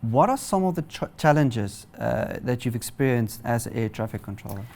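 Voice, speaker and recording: A man's voice: mid-range voice, lively intonation, moderate pace, vocal fry, darkish tone, slight background noise. A man with a South African accent presenting a broadcast interview and putting questions in a formal style.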